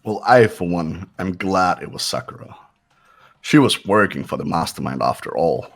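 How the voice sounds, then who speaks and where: A man's voice: low voice, expressive, moderate pace, creaky, exaggerated voice. A man voicing a cold, haughty, condescending young man who gives orders in a fan-voiced visual novel game. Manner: condescending, clipped.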